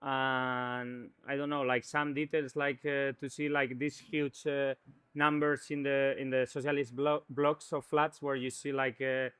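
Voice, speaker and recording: Male artist guest, smooth flat delivery, halting and searching for words. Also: mid-range voice, no room sound. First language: Spanish. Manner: hesitant, searching for words, many fillers. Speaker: painter, interview guest. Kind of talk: conversational art talk.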